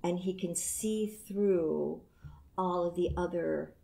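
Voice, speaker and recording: A woman's voice: on the low side, steady tone, slow pace, vocal fry, mellow. A middle-aged woman in casual unscripted chat, curious and opinionated as she offers her guesses. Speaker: guest guessing about characters.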